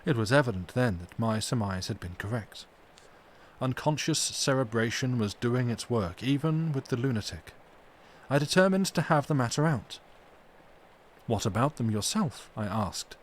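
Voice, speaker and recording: A man reads a gothic novel aloud as a streamed audiobook. His storytelling is measured and expressive. A light layer of noise lies behind him. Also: medium pitch. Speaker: audiobook reader on a livestream. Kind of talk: narrated reading of a gothic novel.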